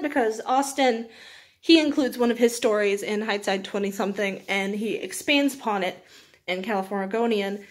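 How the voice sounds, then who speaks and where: A young woman chatting casually as she reviews books, rambling in an informal, self-deprecating way with small laughs. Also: medium pitch. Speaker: book reviewer, video host.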